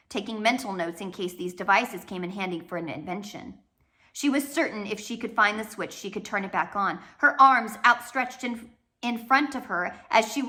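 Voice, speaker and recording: A woman reading a children's novel aloud as a storyteller, dramatic, with swells and hushed drops. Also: on the high side. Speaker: storybook reader. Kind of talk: expressive read-aloud narration of a children's novel.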